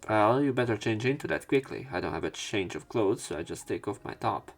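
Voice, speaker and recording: Low, gravelly man reading a story. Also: lively intonation, brisk. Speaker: let's player. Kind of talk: dramatic read-aloud narration of a visual novel.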